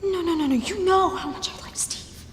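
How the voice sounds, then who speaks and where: A woman's voice: on the high side, big pitch swings, slow pace. An actress playing an angry, jealous woman in a comic film monologue, whispering furiously into a phone with indignant outbursts. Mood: hurt, indignant. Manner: hushed, indignant, swooping into outbursts. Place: large museum gallery, indoors. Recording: echoey reverberant hall, some noise.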